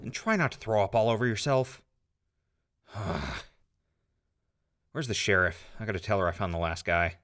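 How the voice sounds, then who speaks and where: A man playing a gruff, irritable lawman in an audio drama, brusque and grumbling. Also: lowish voice, animated, moderate pace, pauses often, fairly bright tone. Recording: clearly noisy background.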